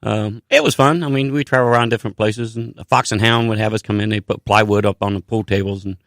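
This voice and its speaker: Low-ish voiced guy, comic guest on the radio, easygoing and self-deprecating, dry studio mic. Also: steady tone, fast talker, no echo. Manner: self-deprecating, laid-back. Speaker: comedian guest. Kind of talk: casual radio interview.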